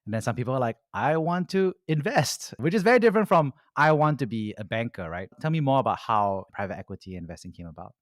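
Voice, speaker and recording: A man hosting a podcast interview, asking questions in an energetic, eager, conversational way. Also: mid-range voice, fast, hardly any pauses, no echo, studio quality. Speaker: podcast host.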